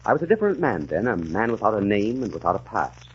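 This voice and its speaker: Casting: earnest, haunted gentleman with shell shock, 1940s radio drama. Low voice, wide swings. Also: sing-song. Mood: earnest, confessional. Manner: earnest, halting and hesitant in dialogue. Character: troubled middle-aged English gentleman recalling his shell-shocked, amnesiac past. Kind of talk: 1940s radio drama acting, dramatic first-person narration. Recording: muffled, noisy 1940s radio recording.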